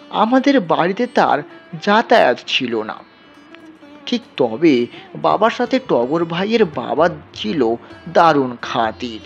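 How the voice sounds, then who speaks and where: Slow, expressive man narrating a story over music. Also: a little high. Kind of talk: scripted dramatic story narration. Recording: dull, lo-fi sound.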